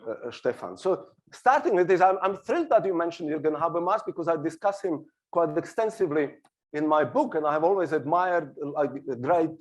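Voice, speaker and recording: A man's voice: fairly high pitch, darkish tone. A middle-aged man from Slovakia with a Slovak accent, arguing his points on a discussion panel and hesitating often. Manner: argumentative, hesitant with many fillers. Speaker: panel speaker. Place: small hall on a stage.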